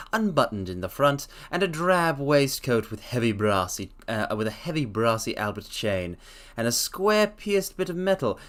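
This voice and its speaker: Excitable male streamer reading a story. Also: on the high side. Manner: excitable, rambling with fillers between passages. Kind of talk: dramatic reading of a detective story.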